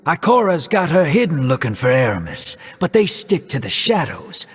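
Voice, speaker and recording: Husky roguish rogue, wry and easygoing, slightly high. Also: male voice, wildly swooping pitch, normal speed, exaggerated voice. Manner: wry, drawling. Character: roguish male outlaw ally, easygoing, wry. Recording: long hall reverb.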